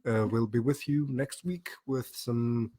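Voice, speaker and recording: A man's voice: medium pitch, steady tone, a little slow, mellow. A man hosting a casual livestream chat, playful and teasing, laughing often. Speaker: host.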